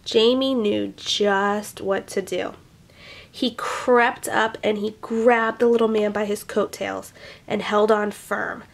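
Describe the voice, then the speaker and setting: A woman reading a children's picture book aloud, expressively, with animated asides to young listeners. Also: mid-range voice, slow, gravelly. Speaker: storyteller.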